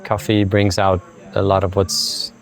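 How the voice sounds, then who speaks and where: Low-voiced American man, reflective. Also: completely flat delivery, a little slow, faint music behind. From United States (born in Brazil, raised in the US). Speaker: coffee farmer, interviewee. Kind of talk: documentary interview, explanatory storytelling.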